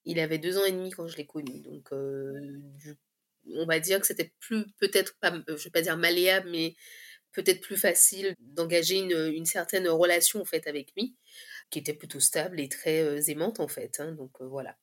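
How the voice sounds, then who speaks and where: A woman in her thirties giving a candid personal testimony in conversation, often pausing mid-phrase as she searches for words. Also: low voice, steady tone, fairly bright tone. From Guadeloupe. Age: 30s.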